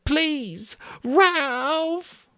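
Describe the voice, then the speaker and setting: A man voicing frightened, desperate young boys in an audiobook reading, raising his voice to high, strained shouts. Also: lively intonation, very slow, no room sound, clean studio sound, exaggerated voice. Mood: desperate shouting.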